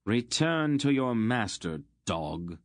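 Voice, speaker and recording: A man's voice: mid-range voice, big pitch swings, a little slow, a little mellow, dry recording, clean studio sound, voice pushed up. A man doing a cold, ancient sorceress. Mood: scathing. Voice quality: cold, clipped, scathing. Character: ancient stern sorceress woman, cold and commanding.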